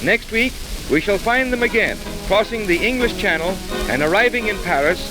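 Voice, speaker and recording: A man's voice: sits high, expressive, normal speed, poor audio. A man delivering scripted narration as an announcer on an old-time radio show. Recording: old radio broadcast with music.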